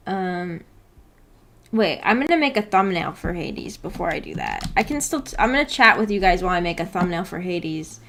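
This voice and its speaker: A woman's voice: lowish voice, huge pitch swings, moderate pace, creaky, a bit bright. A woman hosting a casual livestream, rambling and thinking aloud in a laid-back way as she chats with viewers. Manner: reads viewer comments aloud and replies. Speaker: livestream host.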